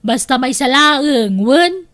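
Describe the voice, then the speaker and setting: A high-pitched, bossy middle-aged aunt acted by a woman speaks with an Ilocano accent. She is breezy and thrifty, a family figure from an audio drama. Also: lively intonation, slow.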